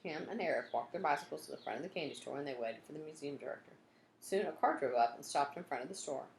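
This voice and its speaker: A woman reading a children's storybook aloud in an expressive way, acting out the story and adding asides like a teacher. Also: low voice, fast talker, creaky. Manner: expressive, acts out dialogue and sound effects. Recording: roomy echo, some background noise.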